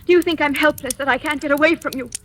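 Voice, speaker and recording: High-voiced young captive woman. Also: fairly even intonation, racing, a bit bright. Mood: defiant. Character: defiant, frightened captive young woman, desperate. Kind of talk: 1940s radio suspense acting. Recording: noisy old-time radio recording.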